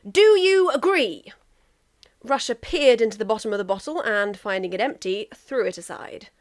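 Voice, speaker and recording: A woman reading a comic story aloud in an expressive amateur narration. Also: medium pitch, brisk. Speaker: amateur fan fiction reader.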